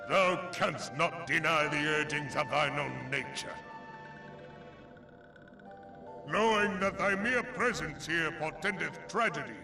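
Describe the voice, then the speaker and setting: A man playing an ancient, stern thunder god in a video game cutscene, speaking in solemn archaic oratory with an otherworldly reverb. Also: fairly high pitch. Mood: grave, accusing. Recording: echoing, distant voice under music.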